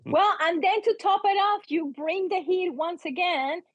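A woman's voice: very high voice, a little slow, no room sound, dull audio. A woman with a non-native English accent telling personal stories as an interview guest, emphatic and theatrical, often voicing her own thoughts. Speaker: interview guest, book co-author, teacher and performer. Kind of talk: animated personal storytelling in an interview.

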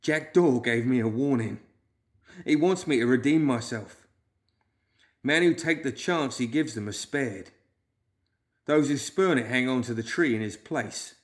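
A man voicing a frightened, obsessive clerk in a story, whimpering and wailing in drawn-out, fearful speech. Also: mid-range voice, natural voice. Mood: earnest, frightened.

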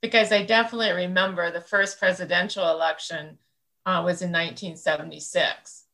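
Gravelly, bright-toned woman in her sixties. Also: fairly low pitch, steady tone, a little fast, poor audio. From central Illinois, United States. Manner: rambling and thoughtful, with many fillers. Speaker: interview guest. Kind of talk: reflective conversational interview.

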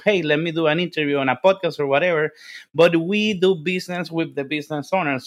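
Dominican man with a high voice, podcast guest, eager, stumbling over his words. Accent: Dominican Spanish. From Dominican Republic. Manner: energetic, repeats words and restarts, casual swearing. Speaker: guest, business development consultant. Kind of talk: casual interview storytelling.

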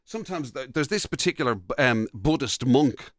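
Irish guy doing breakfast radio banter, lively pitch, dry studio sound. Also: moderate pace, no echo. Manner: chatty, colloquial banter. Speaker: radio breakfast show co-host. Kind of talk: casual comic chat, reading out listener messages.

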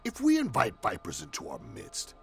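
A man's voice: on the low side, wildly swooping pitch, moderate pace, creaky, fairly bright tone, soft background music, exaggerated voice. A man playing a stern, war-hardened warband commander in an audio drama, curt and commanding. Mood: stern, impatient. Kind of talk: scripted audio drama acting.

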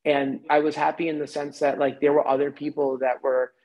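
A man's voice: on the high side, flat delivery, a little fast, darkish tone, poor audio. An American man in his forties, a guest in a casual podcast conversation, rambling with many fillers and restarts. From United States. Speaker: interview guest.

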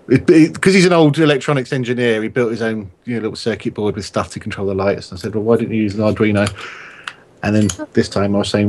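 A man's voice: fairly low pitch, darkish tone, a little hiss or hum. A man in his thirties bantering casually as a co-host on a group podcast. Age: 30s.

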